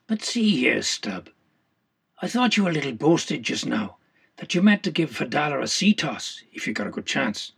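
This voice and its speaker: A man voicing a sceptical, needling sailor in an audiobook, husky and gravelly, with blunt, probing speech. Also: fairly high pitch, big pitch swings, normal speed, fairly bright tone, heavily acted. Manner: blunt, probing, colloquial questions. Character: sceptical, needling male whaling mate quizzing his shipmate.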